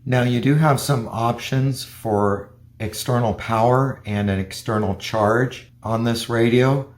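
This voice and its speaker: A man's voice: mid-range voice, slow. A man giving a hands-on gadget review, explaining features plainly and methodically, step by step. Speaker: product reviewer.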